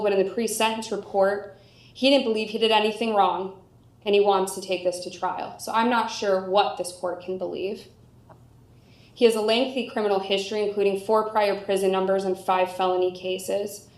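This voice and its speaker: A woman with an American accent making a formal courtroom argument as a prosecutor, in a measured, articulate manner. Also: medium pitch. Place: indoor courtroom. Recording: echoey room sound.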